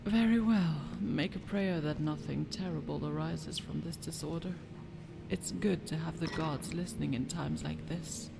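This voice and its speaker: A woman's voice: low-pitched, normal speed, vocal fry, background noise. An actress playing a haughty, scheming fantasy noblewoman in a video game, with imperious, formal, disdainful diction. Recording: music behind the voice.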